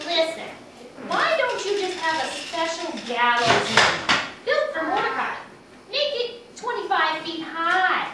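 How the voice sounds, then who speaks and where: A scornful, scheming puppet wife voiced by a woman, high and lively. She nags dismissively in an amateur puppet show, recorded from a distance in an echoey room. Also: slow pace, full character voice. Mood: scheming, gleeful. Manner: nagging, dismissive. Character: bossy, scheming villain's wife puppet, scornful of her husband. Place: small home gathering, echoey room, far camera. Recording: echoey distant noisy home video.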